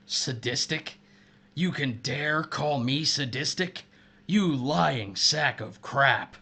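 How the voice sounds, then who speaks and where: A man's voice: medium pitch, takes it slowly, bright, forceful tone, breathy edge, heavily acted. An actor playing a bitter, vengeful missile officer betrayed by his wife, intense and accusatory, in an audio drama. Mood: bitter, accusing.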